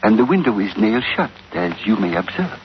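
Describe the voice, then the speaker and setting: Male villain, 1940s radio serial: coolly polite, commanding, lively highish delivery, dull hissy vintage recording. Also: brisk. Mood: smoothly defiant. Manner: formal, precise, imperious. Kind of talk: 1940s radio adventure acting.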